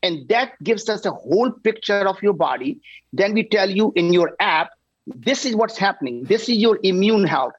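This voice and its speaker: A man in his sixties, a company founder guest on a talk show, pitching his product with energy and emphasis, often tagging "right?". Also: husky, no room sound, muffled recording. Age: 60s.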